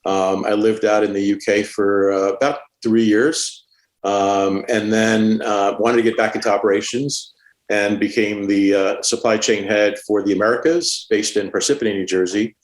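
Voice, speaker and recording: Low-voiced man in an echoey room. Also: flat delivery. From born in Cuba, grew up in Staten Island, New York. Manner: measured, with frequent "uh" fillers. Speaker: podcast guest, supply chain executive. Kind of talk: conversational career story.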